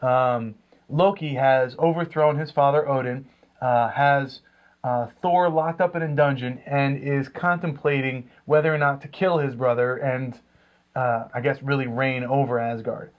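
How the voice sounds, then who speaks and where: A man's voice: medium pitch, steady tone, measured pace. A man co-hosting a comics review show, chatting casually with hesitant, rambling phrasing. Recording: dull lo-fi sound.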